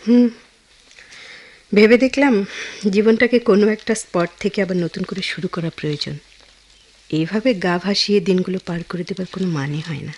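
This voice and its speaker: An actress with a Bangladeshi accent playing a caring, resolute young woman in a radio drama, gentle and earnest in delivery. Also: mid-range voice, moderate pace, pauses often, natural voice. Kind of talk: Bengali radio drama acting.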